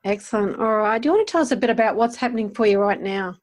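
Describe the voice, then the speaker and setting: A woman's voice: mid-range voice, rapid-fire. A woman hosting an interview podcast, introducing her guest and putting questions to them.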